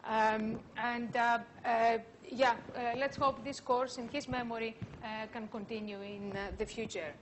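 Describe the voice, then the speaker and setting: A woman lecturer giving an academic conference talk, speaking in a halting way with frequent filler sounds. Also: on the high side, steady tone, a little hiss or hum, poor audio.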